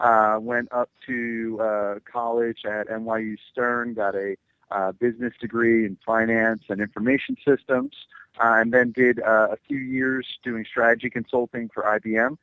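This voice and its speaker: An American man in his twenties giving earnest, somewhat halting interview answers as a political candidate. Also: mid-range voice, expressive, gravelly, a bit bright, smooth, no echo, rough recording. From New Jersey, USA. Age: 20s. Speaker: congressional candidate. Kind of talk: interview answers, campaign talking points.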